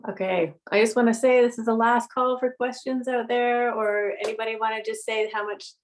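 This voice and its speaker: A woman's voice: fairly high pitch, steady tone, brisk. A woman casually hosting a question session, informal and encouraging. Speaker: library event host.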